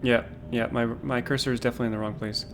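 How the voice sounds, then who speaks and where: A man giving casual, unhurried gameplay commentary on a livestream, in a calm, low-key voice, thinking aloud as he goes. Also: music in the background.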